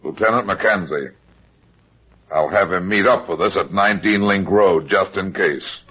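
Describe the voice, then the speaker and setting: An actor playing a wisecracking, unflappable heavyset private detective in a vintage radio drama, wry and sardonic. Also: male voice, low-pitched, moderate pace, very noisy, lightly acted. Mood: wry, calm. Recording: muffled vintage radio recording.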